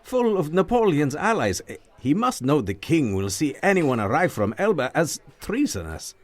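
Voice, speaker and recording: Earnest, animated young man. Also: on the high side, moderate pace. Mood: worried, questioning. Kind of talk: dramatic audio fiction acting.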